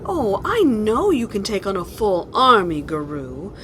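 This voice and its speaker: A woman's voice: mid-range voice, sing-song, measured pace, a bit bright, a little hiss or hum. A woman playing a cruel, impatient evil empress in a fantasy audio drama, haughty in her commands and savoring her threats. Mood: menacing, scheming.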